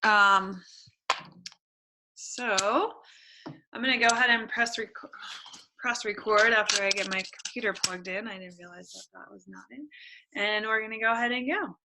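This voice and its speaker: A woman hosting an online workshop over a video call, chatty and relaxed with casual fillers. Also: mid-range voice, normal speed, long pauses, noisy background. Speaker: workshop host.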